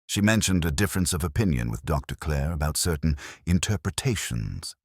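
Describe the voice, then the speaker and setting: A man with a very deep voice narrates a literary mystery. His delivery is measured and melancholic, yet it rises and falls widely in pitch. The sound is close and dry, with no room around it. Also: expressive, no room sound. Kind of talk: scripted first-person mystery narration.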